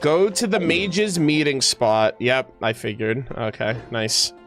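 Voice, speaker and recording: A man's voice: mid-range voice, steady tone, slow pace, a bit bright. A man giving casual, slangy live reaction commentary as a game streamer, talking to his chat. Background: game music.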